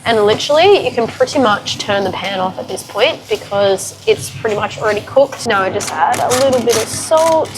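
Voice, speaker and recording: A woman's voice: on the high side, lively intonation, a little fast, few pauses, background noise. A young woman with a congested, sick-sounding voice, chatting frankly and upbeat through a cooking tutorial in her home kitchen. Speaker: fitness vlogger.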